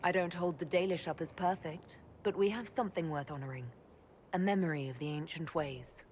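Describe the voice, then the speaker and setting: A woman's voice: deep voice, fairly quick, darkish tone, slight background noise, lightly acted. An actress playing a young female elf leader in a game cutscene, speaking in a dry, matter-of-fact way. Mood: dry, even. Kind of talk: video game cutscene dialogue. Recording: game audio, faint ambience.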